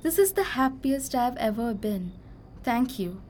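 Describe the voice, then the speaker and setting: A woman's voice: on the high side, lively intonation, unhurried, darkish tone, slight background noise. A young woman playing an anxious, vain and dreamy wife in an amateur radio drama, emotional and plaintive. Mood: dreamy, elated. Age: young adult.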